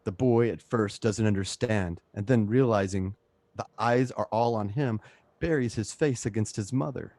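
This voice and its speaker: A man's voice: fairly low pitch, moderate pace, darkish tone, dry recording. A man narrating a script's scene directions as the narrator of a radio play. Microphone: close home microphone.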